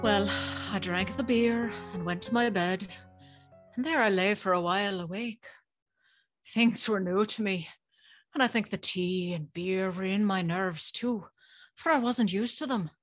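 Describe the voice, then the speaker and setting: Actress as curious young servant girl, polite, hesitant, breathy, slow pace, dry studio sound, audio drama. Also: medium pitch, dry recording, soft background music, natural voice. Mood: reflective, wondering. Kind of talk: audio drama acting with first-person storytelling.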